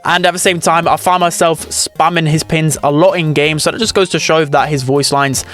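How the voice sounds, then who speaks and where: A man's voice: on the high side, fairly even intonation, moderate pace, fairly bright tone, faint music behind. A man giving casual, opinionated ranking commentary as host of a gaming video. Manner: chatty, opinionated.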